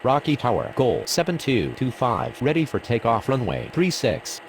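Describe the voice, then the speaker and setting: A man's voice: mid-range voice, sing-song, moderate pace, gravelly, faint music behind. A man delivering scripted airport radio calls in standard pilot and controller phraseology. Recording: very loud engine-like background noise.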